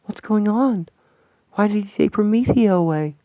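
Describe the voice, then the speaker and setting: A man's voice: high voice, moderate pace, dry recording, voice pushed up, lightly acted. A man voicing a stammering shaken young woman. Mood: confused. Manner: hesitant, stammering. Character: grieving young woman seeker, earnest and shaken. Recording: dry tinny phone-like sound.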